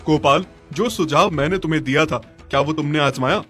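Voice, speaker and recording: Man as a pushy neighbour, fairly high male voice, practical, insistent, cartoon story, music underneath. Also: brisk, pushed and bright. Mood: sceptical. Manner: insistent, advising. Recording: music behind the voice.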